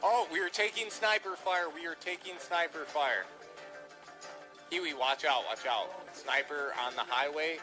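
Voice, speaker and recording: A man's voice: fairly high pitch, a little slow. Male gamer leading a squad, urgent repeated commands, live play, music behind him. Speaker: gamer leading a multiplayer roleplay team. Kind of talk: live gameplay team callouts.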